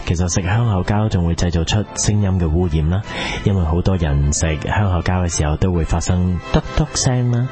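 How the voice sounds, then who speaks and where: A man plays a sensual-voiced student contestant with a low pitch. He sounds affected and earnestly suave in Hong Kong Cantonese. Music plays clearly behind this radio comedy role. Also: natural voice. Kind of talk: comedy radio drama acting.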